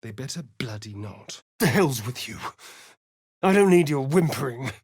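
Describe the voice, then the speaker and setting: An actor playing a sardonic, foppish vampire spawn rogue in a video game, with an arch, theatrical, disdainful drawl. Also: male voice, medium pitch, lively intonation, moderate pace, a little mellow, slightly rough, no echo, clean studio sound. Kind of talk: video game voice acting, companion dialogue and narration.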